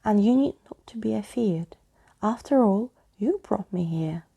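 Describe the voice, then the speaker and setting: A woman's voice: medium pitch, animated, unhurried, gravelly, mellow, husky, full character voice. A woman voicing a courtly, eccentric male skeleton from a storybook, with a pompous, old-fashioned manner. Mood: grandly declaring.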